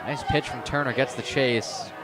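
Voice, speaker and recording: A man provides color commentary for baseball radio in an average-pitched voice. He explains the play in a conversational way, with a light crowd murmur behind him. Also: steady tone, normal speed. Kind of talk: live radio sports analysis.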